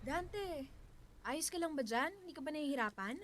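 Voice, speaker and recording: Fretful wary filipino wife for a radio drama, highish voice, swings up and down a lot. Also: female voice, animated, normal speed. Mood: concerned, gentle. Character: wary, fretful wife Thelma, anxious about strangers.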